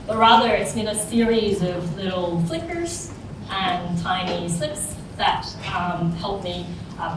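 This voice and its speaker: A woman from South Korea, around her thirties, giving an informal, somewhat halting conference talk built on personal storytelling. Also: lowish voice. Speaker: conference speaker, social entrepreneur. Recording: distant, very echoey room with clear noise.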